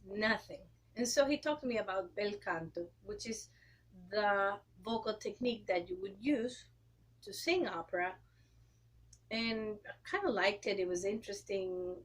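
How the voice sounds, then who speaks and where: A woman in her thirties from Costa Rica, speaking English with a Costa Rican Spanish accent, explaining things in an interview and clearing her throat often. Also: medium pitch, rough recording. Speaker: guest, opera singer and educator. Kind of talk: interview answers.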